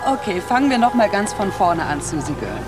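Actress as a lively, brash fast-food worker. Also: female voice, mid-range voice, loud music behind the voice, close to an everyday voice. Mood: excited gossip. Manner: animated, slangy, teasing. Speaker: actress in a radio play. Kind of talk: naturalistic radio drama acting.

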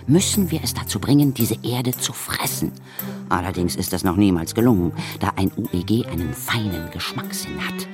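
An actress playing a bossy, fearless older woman who hunts ghosts in a children's audio drama, resolute and emphatic. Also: very deep voice.

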